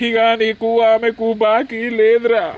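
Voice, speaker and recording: An actor playing a village father calming his crying son. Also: extremely high pitch, unhurried, phone-quality sound. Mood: comforting. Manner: calming, firm. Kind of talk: village drama acting.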